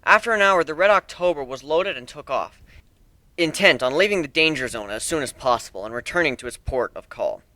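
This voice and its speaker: A man's voice: fairly high pitch, animated, fairly quick, sharp tone, dry recording. A man narrating fan fiction as a dramatic reading of a scripted story.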